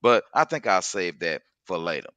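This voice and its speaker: A man's voice: low-pitched, lively intonation, normal speed, sharp tone, smooth, dry recording. A man hosting a casual podcast chat, talking in a loose, rambling way and swearing freely. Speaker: podcast host.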